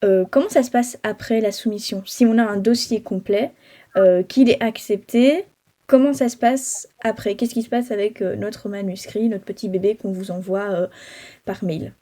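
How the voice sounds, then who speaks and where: A woman's voice: mid-range voice, fairly even intonation, normal speed, mellow. A woman from Belgium hosting an interview, asking questions in a friendly way with fillers. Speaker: interviewer host. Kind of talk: casual interview.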